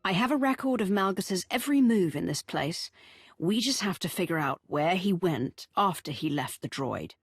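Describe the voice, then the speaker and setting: A cool, commanding female Sith from a video game. Her voice is on the low side with lively intonation, assertive and decisive. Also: moderate pace, breathy edge. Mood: businesslike.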